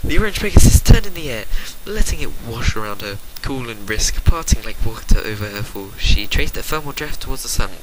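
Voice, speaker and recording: A man reading fan fiction as a dramatic narration, theatrical and swinging between hushed and forceful, on an amateur home recording. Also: mid-range voice, expressive, a little fast, few pauses, background noise. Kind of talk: dramatic storybook-style narration.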